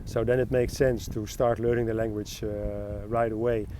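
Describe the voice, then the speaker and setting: A man's voice: on the low side, level tone, normal speed, mellow, a little hiss or hum. A young Dutch man with a Dutch accent, answering street interview questions in English with frequent hesitant fillers. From Netherlands.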